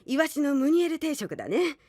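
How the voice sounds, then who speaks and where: A woman voicing a bossy, rule-bound eatery worker, curt and matter-of-fact, in an animated audio drama. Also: high voice, fairly quick, no echo, studio quality. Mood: brisk, matter-of-fact. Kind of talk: animated Japanese voice drama acting.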